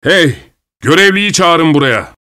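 A male reader plays an outraged gentleman from a storybook. He is heated and demanding, making threats in a raised, indignant voice. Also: medium pitch, very animated, moderate pace, no echo, studio quality, voice pushed up. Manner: heated, summoning help.

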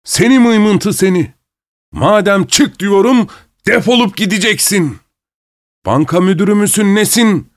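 Man acting out drunken arrogant bully, raised high pitch, imperious, taunting, theatrical storybook character, dry sound. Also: lively intonation, slow, no echo. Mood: furious, imperious.

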